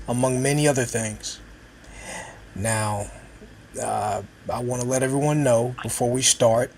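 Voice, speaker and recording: A man hosting a podcast interview, a little hoarse from a cold, with a scratchy voice. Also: medium pitch. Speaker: podcast host.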